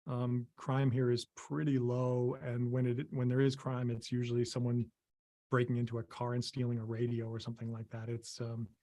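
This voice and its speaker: A middle-aged man from Maine, a guest on a video call, speaking in halting, casual sentences full of ums and restarts. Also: mid-range voice, completely flat delivery, dark tone. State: losing his voice, clearing throat. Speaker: interview guest, visual effects artist.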